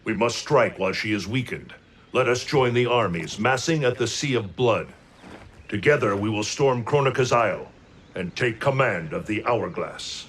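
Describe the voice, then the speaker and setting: A low, resolute warrior hero. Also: male voice, expressive, normal speed, a bit bright, slight background noise. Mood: urgent, resolute. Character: resolute heroic male warrior rallying allies. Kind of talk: video game cutscene acting.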